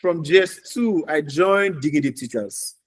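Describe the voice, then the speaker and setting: A man's voice: a little high, unhurried. Earnest man telling his story. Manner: earnest, storytelling, repeats phrases for emphasis. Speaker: guest. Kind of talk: casual podcast interview.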